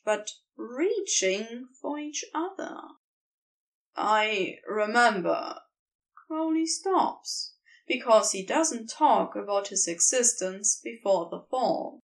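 A woman reading a story aloud as an expressive narrator, recorded a little way from the microphone. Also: on the high side, far from the mic. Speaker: fan fiction audio reader.

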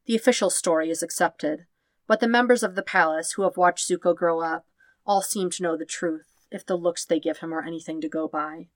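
A woman reading fan fiction aloud as scripted narration, in measured, dramatic short phrases. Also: on the low side, no echo. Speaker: podfic narrator.

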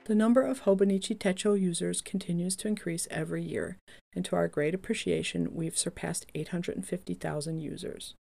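A woman reading the answers of a calm older male company founder in an imagined interview, in a modest, measured way. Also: lowish voice, vocal fry.

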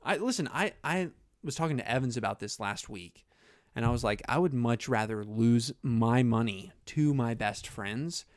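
A young man co-hosting a podcast, animated and joking, often laughing. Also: medium pitch, no echo, clean studio sound. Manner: animated, laughing, quick jokey asides. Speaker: podcast co-host. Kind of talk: casual podcast chat.

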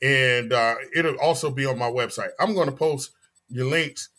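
A man in his early forties hosting a podcast, talking in an animated, emphatic way and often repeating phrases. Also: medium pitch, moderate pace. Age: 40s. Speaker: podcast host. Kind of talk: casual chat.

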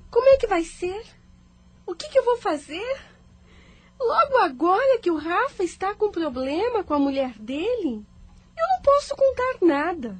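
A woman's voice: extremely high pitch, darkish tone, full character voice. A woman playing an anxious, distraught young woman in a radio soap opera. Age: young adult.

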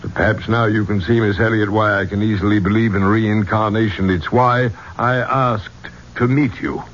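An actor playing an obsessed, superstitious gentleman archaeologist in a 1970s radio drama, with a grandiloquent, courtly way of speaking. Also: fairly low pitch, steady tone, moderate pace, lightly acted. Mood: earnest, pleading. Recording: dull, noisy 1970s radio recording.

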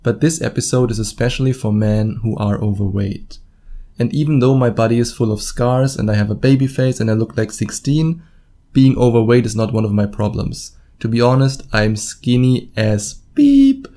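Male solo podcaster, German accent, soft mellow timbre, casual and blunt coaching monologue. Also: mid-range voice, lively intonation, moderate pace. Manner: informal, blunt, swears casually, acts out imagined quotes. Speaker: podcast host and dating coach.

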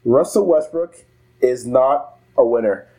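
A young man with a mellow, warm voice co-hosts a casual basketball podcast. He talks bluntly, swears freely and laughs often. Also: medium pitch, measured pace, low-quality recording. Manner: blunt, profane, laughs easily. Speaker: podcast co-host. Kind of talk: casual sports chat.

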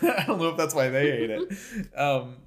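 Animated man chatting on a podcast. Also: a little high, normal speed, crowd noise. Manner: animated, laughs often, restarts sentences. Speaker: podcast co-host. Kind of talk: casual chat recapping a reality show.

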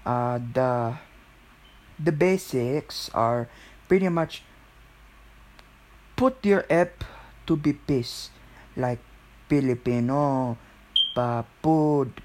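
A man rambles casually at a slow pace in a put-on Filipino accent, with a jokey manner. His pitch is average, and light steady noise sits behind his voice. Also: low-quality recording. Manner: jokey, often breaking into giggles.

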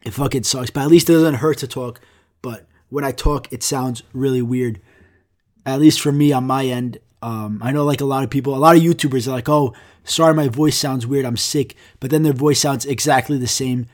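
A young man hosting his own podcast while sick, rambling casually with lots of swearing and deadpan jokes. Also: mid-range voice, normal speed. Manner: profane, rambling, deadpan jokey. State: sick, congested. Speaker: podcast host.

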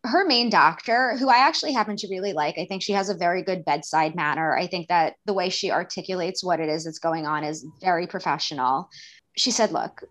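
A woman, speaking as a guest in a candid interview, earnest and animated, acting out bits of dialogue as she talks. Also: fairly low pitch. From New York. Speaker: guest, daughter and caregiver.

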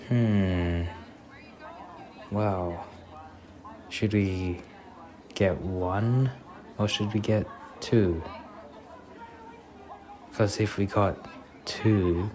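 A man acts out a gentle young boyfriend in an ASMR roleplay, with a low voice that barely rises or falls. He speaks softly and haltingly to reassure his date, over the murmur of a crowd. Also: fairly even intonation. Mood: relaxed, cheerful. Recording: crowd ambience and noise behind voice.

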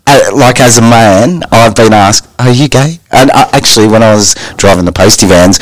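A man's voice: on the low side, normal speed, dry recording, poor audio. A man, telling an anecdote in an easygoing way on a radio chat.

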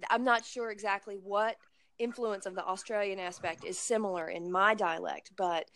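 A woman's voice: medium pitch, steady tone, normal speed, no room sound. Appalachian lady in her 40s, encouraging and chatty, dry close sound. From Blue Ridge Mountains, United States. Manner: encouraging, chatty, warm praise. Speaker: conversation partner. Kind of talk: casual conversation practice.

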